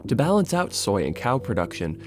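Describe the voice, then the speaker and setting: A man's voice: medium pitch, big pitch swings, a little fast. A man narrating a scripted video essay as its host, in a wry, sardonic, casually sweary way.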